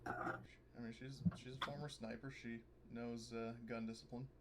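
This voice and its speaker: A man's voice: medium pitch, level tone, normal speed, a bit bright. A man casually improvising narration in a tabletop roleplaying game, tentative and meandering in his delivery. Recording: faint background noise.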